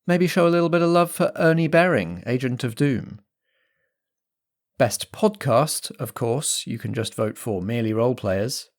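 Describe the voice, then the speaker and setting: A man presents a podcast announcement in the role of host and game master. His voice sits at a middling pitch as he reads through the notices for listeners. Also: a little mellow.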